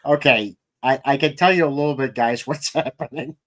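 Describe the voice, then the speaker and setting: A man's voice: medium pitch, fairly quick. A man hosting a casual interview about his creative work, talking as a writer. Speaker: podcast host and comics writer.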